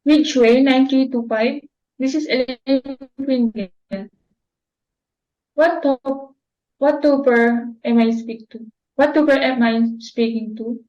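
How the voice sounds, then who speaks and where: A young woman reading the part of a sensible young daughter who calmly offers her mother solutions. The voice is high and earnest. The recording is dull and lo-fi. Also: slow. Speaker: student actor. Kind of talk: amateur dramatic reading.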